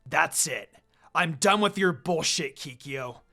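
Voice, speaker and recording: A man voicing a hot-headed young male half-demon in an anime fan story, loud, aggrieved and confrontational over music. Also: a little high, expressive, slow, exaggerated voice. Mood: angry.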